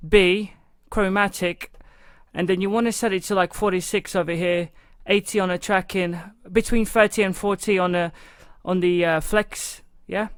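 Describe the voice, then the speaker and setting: Chatty male beat-maker, high voice, dry sound. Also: fairly even intonation, normal speed, clean tone, no room sound. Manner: rambling, loose, full of "you know" and "like". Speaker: music production tutorial host. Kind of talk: casual step-by-step software tutorial. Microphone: studio microphone into a recording channel.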